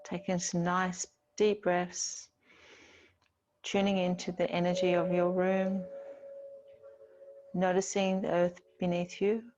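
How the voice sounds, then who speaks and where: A woman teaching yoga, giving gentle, soothing, unhurried guided instruction with pauses between phrases. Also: fairly low pitch, monotone, poor audio.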